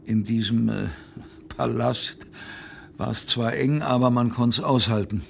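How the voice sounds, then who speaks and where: An actor playing a plain-spoken former polar sailor, narrating a radio play as a wry, reflective recollection with a gravelly, husky voice. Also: male voice, mid-range voice, unhurried, slight background noise. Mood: wry, amused. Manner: colloquial, hesitant, wry, reflective.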